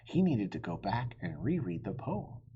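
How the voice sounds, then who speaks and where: A man reading a children's story aloud with expressive narration. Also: lowish voice, moderate pace, breathy edge, lo-fi sound. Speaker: storybook reader.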